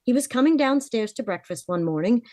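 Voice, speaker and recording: A woman's voice: a little high, animated, brisk, warm tone, dry recording, clean studio sound, natural voice. A woman playing a worried, frank young heiress of twenty-four in a vintage-style radio drama, confiding her story earnestly. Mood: grave, worried.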